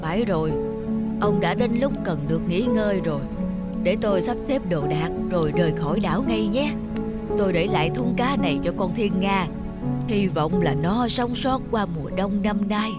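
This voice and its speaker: A woman voicing a kindly, practical older fisherman's wife in an animated fairy tale, gentle and solicitous. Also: medium pitch, moderate pace, lightly acted. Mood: caring. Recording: very loud music behind the voice.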